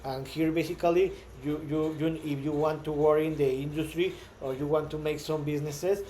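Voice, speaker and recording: Mexican guy speaking english, native spanish, stumbles and repeats a lot, slightly high mellow voice, faint hiss behind. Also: fairly even intonation. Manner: halting, many repeated words and restarts. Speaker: startup founder. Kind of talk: casual monologue.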